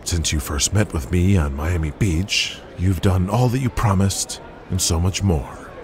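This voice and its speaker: An actor playing a ruthless, controlling wrestling promoter in an audio drama, speaking in a cold, lecturing manner. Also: male voice, deep voice, a little slow, background noise. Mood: approving.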